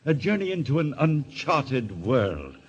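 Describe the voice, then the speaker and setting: An actor playing a genteel, world-weary gentleman with an urbane, fastidious manner in a 1940s radio drama. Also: mid-range voice, sing-song, slightly rough. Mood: urbane, persuasive. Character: genteel, world-weary gentleman who relishes a stylish death. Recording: dull vintage radio recording.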